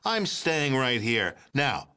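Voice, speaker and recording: A smug male politician for a video game. His voice is bright and forceful, swinging widely in pitch. He talks like a folksy, pompous president, patronizing everyone he addresses. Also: big pitch swings, slow, clear, resonant tone, heavily acted. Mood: defiant, smug. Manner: glad-handing, pompous, patronizing. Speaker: president character. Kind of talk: theatrical video game character acting.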